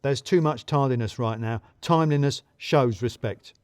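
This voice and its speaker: A man's voice: medium pitch, moderate pace, natural voice. A pompous male office manager, acted out by a male story reader barks in clipped, emphatic corporate phrases. He is self-important and bossy. The sound is dry, with no room echo. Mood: brisk, officious.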